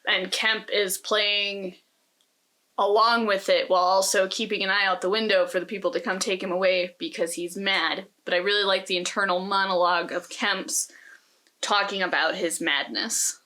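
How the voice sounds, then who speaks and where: A woman's voice: mid-range voice, fairly even intonation, moderate pace, bright, forceful tone. A woman co-hosting a casual, conversational discussion about books. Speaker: podcast co-host.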